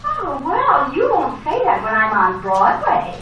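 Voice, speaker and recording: An actress playing a vain, defiant younger sister hungry for fame in an old-time soap opera, with brash dramatic delivery. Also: female voice, high-pitched, huge pitch swings, a little slow, highs cut off, heavily acted. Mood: boastful, brash. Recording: echoey, distant old soap opera recording, faint noise.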